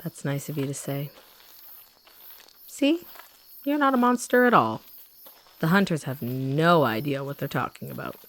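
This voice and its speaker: A woman acting as a young female hunter who has turned rebel, speaking in a low register. She is gentle and confiding, carrying guilt, in the intimate manner of roleplay audio drama. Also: lively intonation, measured pace, long pauses, slight background noise. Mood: remorseful, tender. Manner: soft, confiding, addressing the listener directly.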